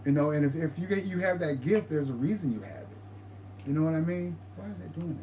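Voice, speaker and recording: Man co-host, livestream chat, slightly higher voice, stammering restarts, faint hiss behind. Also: warm tone, low-quality recording. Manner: halting, repeats words and restarts a lot.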